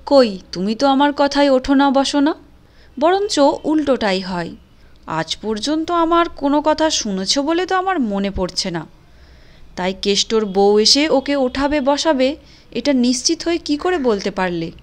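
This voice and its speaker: A woman's voice: high-pitched, animated, measured pace. An actress playing a caring middle-aged housewife in a cartoon moral story, earnest and persuasive in her talk. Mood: insistent. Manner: earnest, coaxing, insistent.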